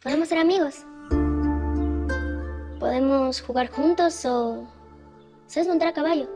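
A woman's voice: sits high, measured pace. A young actress playing a sweet, curious ten-year-old girl with a Mexican accent in a television drama. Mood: friendly, shy. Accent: Mexican Spanish. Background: music.

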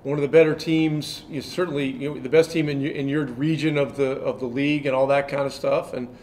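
An older man in his sixties, a head coach answering reporters' questions after a game with a measured delivery and many fillers. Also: mid-range voice, steady tone, a little mellow, a little hiss or hum. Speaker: head coach at a press conference. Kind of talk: post-game press conference answers. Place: press room in front of a sponsor backdrop.

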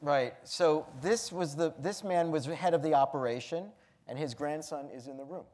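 An American man moderating an onstage interview, adding quick remarks and jokes. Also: on the high side, a little mellow. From United States. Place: theater stage with live audience.

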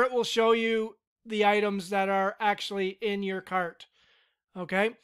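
Smooth-voiced man walking through software. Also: high-pitched. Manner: step-by-step, relaxed, with fillers. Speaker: tutorial instructor. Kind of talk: screen-recorded software tutorial.

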